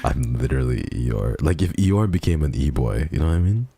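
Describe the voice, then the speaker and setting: A drunk man with a very deep voice, a livestreamer chatting casually and swearing freely with a friend. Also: sing-song, brisk, creaky, mellow, slightly rough, no room sound. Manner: profane, loose, drunken chat. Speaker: livestreamer, vtuber. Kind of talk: casual banter between friends.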